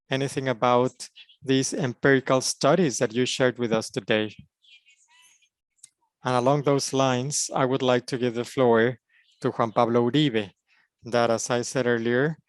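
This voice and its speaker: A man interprets a conference panel, moving through formal phrases in short, steady chunks. His voice sits at an ordinary male pitch. The sound is dry and close. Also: dry recording.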